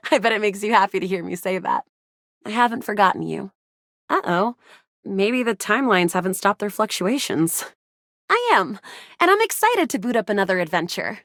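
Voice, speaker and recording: A woman's voice: mid-range voice, lively intonation, normal speed, long pauses, fairly bright tone, no room sound, studio quality. A young woman voicing a living video game as a playful, eager, theatrical adventurer character. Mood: warm, playful. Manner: theatrical, emphatic.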